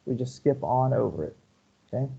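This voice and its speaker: A man's voice: medium pitch, animated, moderate pace, gravelly, mellow, breathy edge, dull audio. A male university lecturer teaching programming online over shared slides, explaining step by step and often checking in with "okay?" and "right?". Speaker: university computer science lecturer.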